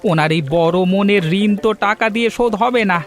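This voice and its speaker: A man's voice: high voice, fairly quick, faint music behind, lightly acted. A man voicing a poor, humble village fisherman who speaks earnestly and deferentially in a cartoon. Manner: pleading, deferential. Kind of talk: cartoon voice acting.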